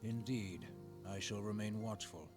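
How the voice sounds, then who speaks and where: An actor playing a grave fantasy game sage who speaks slowly in drawn-out, archaic English. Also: male voice, lowish voice, fairly even intonation, close to an everyday voice. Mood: solemn. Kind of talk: voiced video game dialogue. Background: game music.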